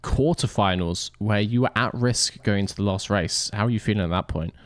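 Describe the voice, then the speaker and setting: A man's voice: fairly low pitch, brisk, no room sound. A man hosting a livestream and casually interviewing racers after an event. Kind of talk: casual post-race interview.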